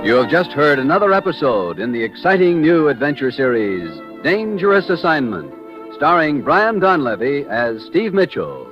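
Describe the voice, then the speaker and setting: A man's voice: fairly high pitch, sing-song, normal speed. A man with an American accent announcing in a formal 1950s radio style. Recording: dull vintage broadcast recording with music.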